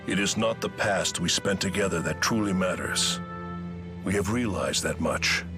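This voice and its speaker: A deep-voiced, stoic male spirit in a fantasy game. He speaks gravely and formally with stately phrasing, over faint music. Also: normal speed. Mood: resolute. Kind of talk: dramatic video game voice acting.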